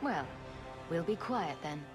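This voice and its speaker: A woman's voice: deep voice, lively intonation, takes it slowly, over music. A woman playing a bold, sarcastic mercenary heroine in a video game, with a wry, cocky manner. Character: bold, sarcastic adult female mercenary adventurer.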